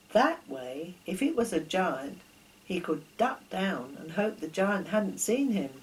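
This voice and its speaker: A woman's voice: mid-range voice, darkish tone, slight background noise, poor audio. An older woman reading her own children's story as a narrator, expressively, posing questions to the listener.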